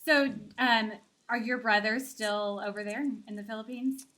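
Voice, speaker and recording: A woman's voice: on the high side, steady tone, moderate pace, fairly bright tone. A woman hosting an interview podcast, moving between scripted narration and friendly conversation. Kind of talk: warm-up scripted intro, then conversational interview.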